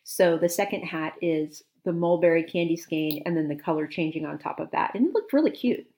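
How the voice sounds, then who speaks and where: A woman with a lowish, gravelly voice talks through her finished knits with easy enthusiasm. She is a podcast co-host in casual chat, a bit sniffly, lingering over details. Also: brisk, dark tone. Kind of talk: casual chat about knitting.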